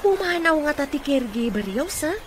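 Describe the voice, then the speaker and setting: A woman's voice: sits high, big pitch swings, brisk. A woman playing a kind, concerned caregiver in an Ilocano radio comedy-drama. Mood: concerned, respectful. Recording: noisy radio drama mix.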